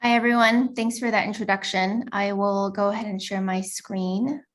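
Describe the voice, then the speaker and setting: An American woman presents to academic colleagues over a video call. Her delivery is friendly and measured, and she fills her pauses with frequent ums. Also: medium pitch, flat delivery. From United States. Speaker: visiting chemistry lecturer, presenter.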